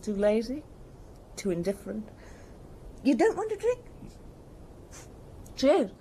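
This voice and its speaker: Bitter drinking wife, audio drama, mellow tone, swooping pitch, languid and sardonic. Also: female voice, very animated, slow pace, slight background noise, exaggerated voice. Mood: sardonic, tipsy. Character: bitter, indifferent hard-drinking wife of missing rich husband.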